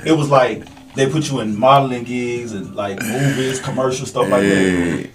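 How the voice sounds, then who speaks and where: A man hosting a casual podcast chat, speaking in slangy, halting phrases with repeated words. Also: mid-range voice. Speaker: podcast host. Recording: slightly distant from the microphone.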